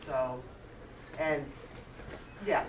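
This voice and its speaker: A woman's voice: extremely low pitch, steady tone, extremely slow. A woman at a club meeting, chatty and amused, listing records she kept. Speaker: club member. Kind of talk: meeting discussion. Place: meeting room. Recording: roomy, distant, noisy.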